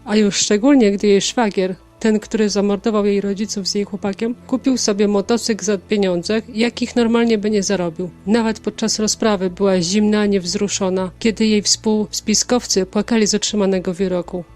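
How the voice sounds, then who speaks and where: Actress as female passenger, mid-pitched, composed, matter-of-fact, crime radio play, music behind. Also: fairly even intonation, moderate pace, few pauses, darkish tone, natural voice. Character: calm female taxi passenger recounting a grim crime. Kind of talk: audio drama acting.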